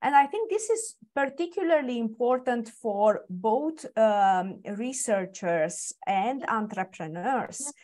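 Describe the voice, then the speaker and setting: Woman, forties, from Romania, halting panel speaker. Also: mid-range voice, darkish tone. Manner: halting, restarts often. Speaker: webinar panelist. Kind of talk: presentation talk.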